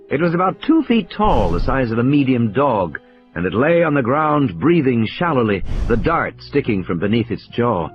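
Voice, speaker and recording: Man narrating with lively, varied pitch. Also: lo-fi sound. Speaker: audiobook narrator. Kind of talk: scripted dramatic story narration. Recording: music and sound effects behind the voice. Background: music and background sound effects.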